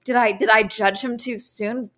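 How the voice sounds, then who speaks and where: A young woman, a podcast guest, discussing books casually with animated, sarcastic retelling. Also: fairly high pitch, normal speed. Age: young adult. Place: living room, indoors.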